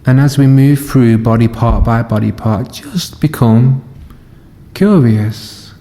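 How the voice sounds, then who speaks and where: Male meditation teacher, mellow and very slow, gentle phrasing with long pauses, recorded in a reverberant hall. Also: mid-range voice. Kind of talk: guided body scan meditation. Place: live session in a reverberant room.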